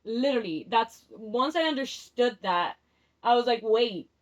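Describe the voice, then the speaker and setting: A young woman with a slightly high voice gives a rambling, candid tarot reading, circling back and restarting her thoughts. Spanish is her first language. The recording sounds a little dull and lo-fi. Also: animated, unhurried. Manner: rambling and candid, restarts often, laughs at herself. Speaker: tarot vlogger.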